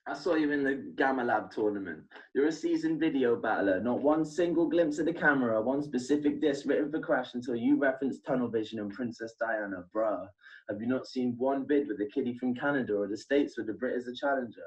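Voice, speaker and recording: A man's voice: medium pitch, fairly quick, recorded from a distance, dull audio. A man with a southern English accent delivering aggressive, insult-heavy battle rap verses. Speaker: battle rapper.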